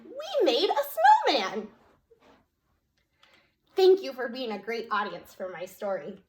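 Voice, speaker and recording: A woman telling a story to preschoolers as a children's librarian, in a sing-song, child-directed way with frequent questions. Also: high-pitched. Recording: roomy echo.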